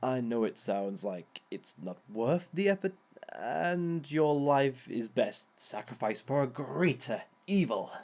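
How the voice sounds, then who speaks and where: A man's voice: medium pitch, expressive, slow pace, a little mellow. A man voicing a sly, theatrical male villain who lectures on dating in an audio roleplay, with chuckling asides. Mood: smug. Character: smug male villain giving a dating seminar.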